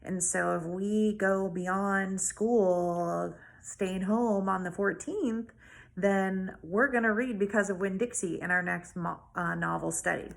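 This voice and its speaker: Female elementary teacher for a children's read-aloud, mid-pitched, some vocal fry, expressive delivery. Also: slow. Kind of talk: casual talk to pupils and storybook read-aloud.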